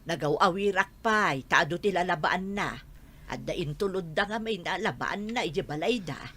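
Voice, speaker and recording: A woman playing a chatty, teasing friend and confidante in a radio drama, expressive and speaking with a Filipino accent. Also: lowish voice, normal speed. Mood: chatty, excusing.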